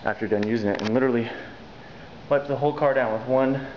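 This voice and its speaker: A man's voice: mid-range voice, fast, darkish tone, muffled recording, a little hiss or hum. A young man filming a casual vlog, joking and explaining car care to the camera on a handheld camera. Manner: upbeat, joking, talks to the camera. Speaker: vlogger. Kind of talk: casual road-trip vlog with detailing tips.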